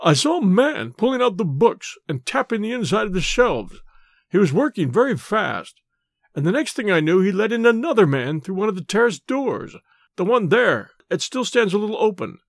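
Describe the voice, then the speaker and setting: A man voicing a dazed, injured manservant in a storybook reading, halting and deferential. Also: high-pitched, sing-song, no echo, studio quality, voice pushed up, exaggerated voice. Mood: pained, earnest.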